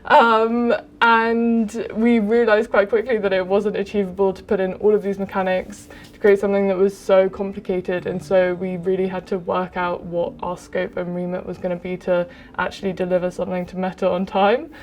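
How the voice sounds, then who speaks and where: A young adult woman, a game director, explaining her work in an interview with many pauses of "um". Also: mid-range voice. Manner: even, explanatory, with frequent "um". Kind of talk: interview answers.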